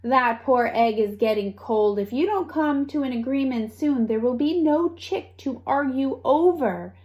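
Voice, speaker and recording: A woman's voice: high voice, measured pace, lo-fi sound. A woman voicing a sensible storybook mourning dove, pleading and reasoning as a peacemaker.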